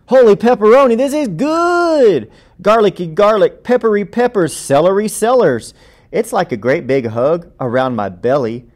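A man voicing an excitable, stubborn child who loves pizza in a picture book, exclamatory and dramatic. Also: high-pitched, moderate pace, voice pushed up. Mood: amazed.

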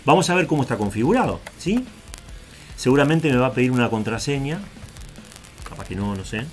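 A man's voice: mid-range voice, lively intonation, brisk, darkish tone. Rioplatense male tech host, middle voice, casual and rambling, faint music bed. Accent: Rioplatense Spanish. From Argentina, raised in Uruguay. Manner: chatty, digressive, checks in with "¿tá?". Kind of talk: casual screen-share tutorial.

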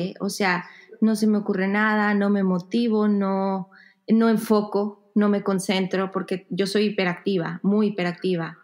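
A woman in her thirties giving casual interview answers as a guest, searching for words and repeating phrases for emphasis. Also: mid-range voice. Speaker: podcast guest, yoga teacher. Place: home room with bare wall, indoors.

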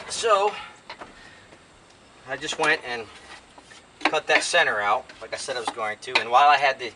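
An older American man chatting casually in a folksy, rambling way as he talks to himself while doing a hands-on job. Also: mid-range voice. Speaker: DIY vlogger. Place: inside open cargo van beside a garage, outdoors. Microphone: camera a few feet away. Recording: distant camera mic, loud noise, clicks.

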